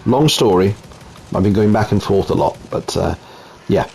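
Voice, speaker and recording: A man's voice: lowish voice, moderate pace, vocal fry, a little hiss or hum, rough recording. Italian-accented man reviewing a game. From Italy. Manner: relaxed, chatty, frequent ums. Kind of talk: casual gameplay commentary. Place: home.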